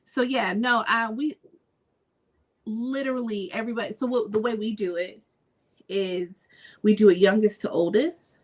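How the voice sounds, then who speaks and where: A woman's voice: medium pitch, steady tone, moderate pace, pauses often, darkish tone. A young adult woman co-hosting a podcast in casual chat, talking with lots of fillers and frequent laughter. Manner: chatty, laughs often, many fillers. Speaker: podcast co-host.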